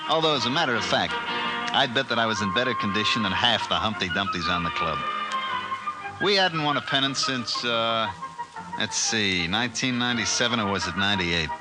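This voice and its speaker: An actor with an American accent playing a weary, wry older baseball coach in a 1950s radio drama, talking in a folksy, sardonic way. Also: male voice, medium pitch, close to an everyday voice, poor audio. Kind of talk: 1950s radio drama first-person narration. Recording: vintage 1950s radio recording, music underneath.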